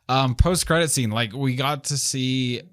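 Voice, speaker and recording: A man's voice: mid-range voice, unhurried, fairly bright tone. A man chatting casually and enthusiastically as a film review host, in an informal way.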